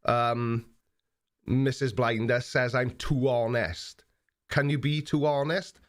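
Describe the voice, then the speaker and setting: A man in his forties with an Australian accent, hosting a live stream and chatting casually while answering viewer comments, relaxed and plain-spoken with frequent ums. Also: medium pitch, slow pace. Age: 40s.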